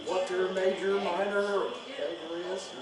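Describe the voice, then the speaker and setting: A man's voice: high voice, fairly even intonation, measured pace, background noise. Rambling man hosting in a coffee shop. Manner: rambling, many fillers and restarts. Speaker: coffee shop owner and show host. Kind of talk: casual impromptu interview. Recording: echoey room, mic far off, music playing. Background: music.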